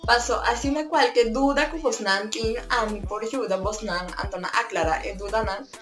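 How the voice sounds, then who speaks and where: A woman's voice: medium pitch, fairly bright tone. Chatty Papiamento-accented woman vlogger. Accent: Curaçao Papiamento. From Curaçao. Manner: chatty, switching between languages. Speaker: YouTuber. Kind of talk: casual explainer vlog. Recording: echoey room with faint music.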